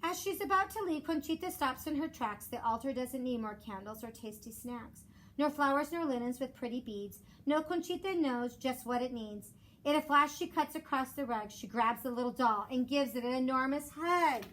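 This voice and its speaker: A woman who teaches elementary school reads aloud expressively for children in a measured storytelling way. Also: high voice, fairly bright tone, rough recording. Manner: measured storytelling with rhymed cadence. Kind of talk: expressive children's picture book read-aloud. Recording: clear background noise.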